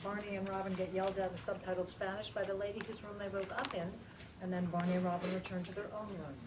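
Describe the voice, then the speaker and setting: A woman's voice: mid-range voice, little pitch movement, fast. A woman reading out stage directions as scripted narration at a table read. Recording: far from mic, clearly noisy room.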